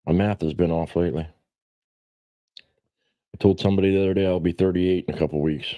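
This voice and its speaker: Very deep-voiced man in his forties, rambling casually. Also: steady tone, brisk, dark tone, dry recording. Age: 40s. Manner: rambling, blunt, self-deprecating, salty biker talk. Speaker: livestream host. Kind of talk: casual livestream chat.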